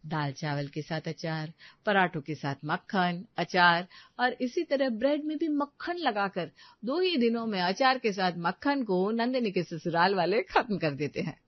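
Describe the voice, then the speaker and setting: A woman's voice: mid-range voice, lively intonation, measured pace, no room sound, highs cut off. Woman story narrator, very dry sound.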